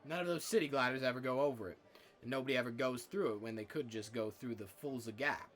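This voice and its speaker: Casting: male actor for space-western tipster, folksy and sly, bright mid-range voice, conversational. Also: medium pitch, quick pace, natural voice. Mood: coy, persuasive. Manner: conversational, coy storytelling. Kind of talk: naturalistic film dialogue.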